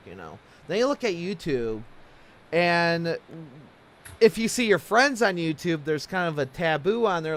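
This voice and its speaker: A man with a slightly high voice interviews in a casual, loose style. He rambles through his thoughts and questions informally, like an amateur host talking things over with a guest. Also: slight background noise. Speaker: interviewer, talk show host.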